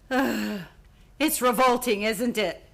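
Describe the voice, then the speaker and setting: A woman acting an excitable, dramatic store employee in a radio play, exclamatory and gushing. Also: fairly high pitch, slow, creaky. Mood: brisk, exasperated.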